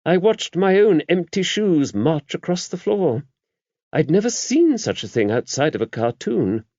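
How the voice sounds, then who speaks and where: A man voicing an older abbess in an audiobook, a shrewd, gently wry woman who talks in a brisk, genteel, confiding way. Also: a little high, big pitch swings, no echo, pitched above the performer's own voice, full character voice. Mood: chatty, shrewd. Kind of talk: audiobook character voice. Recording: dull, muffled lo-fi audio.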